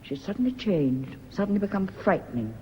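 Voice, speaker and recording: A woman's voice: medium pitch, sing-song, brisk, a little mellow, natural voice. An actress playing an anxious, guilt-haunted woman with a British accent, nervous and brooding in a radio drama. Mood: brooding, fearful. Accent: British English. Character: anxious, guilt-haunted woman, former live-in servant or companion. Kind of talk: British stage-style radio drama acting. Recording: dull, hissy old radio recording.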